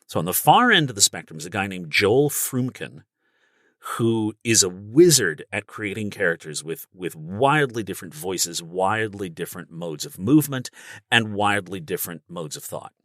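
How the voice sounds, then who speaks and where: A man with a fairly low, bright and forceful voice, recorded close and dry. He talks like a guest coach on a podcast, explaining his craft in an animated, instructive way. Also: moderate pace, no room sound.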